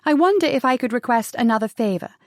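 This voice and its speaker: A woman's voice: a little high, lively intonation, fast talker, no room sound, clean studio sound, close to an everyday voice. A woman playing a bold, eloquent female writer in an audiobook, reading out a letter with formal poise. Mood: poised, courteous.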